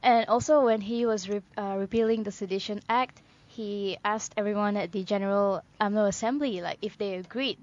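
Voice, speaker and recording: Calm woman co-host. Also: mid-range voice. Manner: measured, explanatory, light laughter. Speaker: news commentary co-host. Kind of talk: casual news commentary chat. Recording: dull lo-fi podcast audio.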